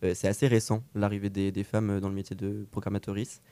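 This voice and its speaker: Guy hosting a chatty interview, lowish mellow voice, keen but hesitant. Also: level tone, fast talker, no room sound. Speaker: interview host. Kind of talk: informal radio-style interview.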